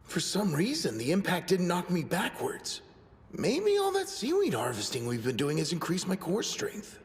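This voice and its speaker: High, timid teenage boy. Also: wildly swooping pitch, moderate pace, husky, heavily acted. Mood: puzzled. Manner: nervous, self-effacing. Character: timid, apologetic teenage male sumo team captain. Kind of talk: anime dub acting.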